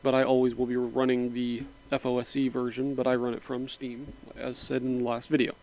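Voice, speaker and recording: A man of middle pitch talks through a setup on his computer in a loose, unhurried way. His voice is a bit raspy from a sinus cold. He drifts between steps with small fillers and asides. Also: rough recording. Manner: relaxed, rambling, with frequent fillers. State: slightly raspy from a sinus infection. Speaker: mod tutorial narrator. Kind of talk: casual screen-recording walkthrough.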